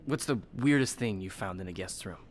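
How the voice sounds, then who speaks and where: A man's voice: fairly low pitch, a little fast, lightly acted. A man voicing a friendly, joking young hotel worker, easily flustered, in a narrative video game. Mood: chatty, amused. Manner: informal, joking.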